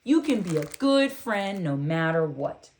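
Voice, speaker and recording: A woman voicing a loving, wise old grandmother in a storybook, giving encouraging advice with drawn-out phrasing. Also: fairly low pitch, very animated, a little mellow. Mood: tender, encouraging.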